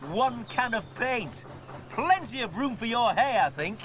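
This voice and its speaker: A man voicing a friendly, calm young man who takes charge in a children's cartoon, reassuring and instructive. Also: extremely high pitch, a little slow, pitched above the performer's own voice, heavily acted. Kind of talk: children's cartoon character acting.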